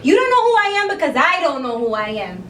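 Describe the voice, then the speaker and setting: A woman acting a theatrical monologue as someone wounded and defiant, confronting her abuser with emphatic, deliberate phrasing and long pauses. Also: big pitch swings, a bit bright. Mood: hurt, accusing. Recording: distant echoey room, faint rumble.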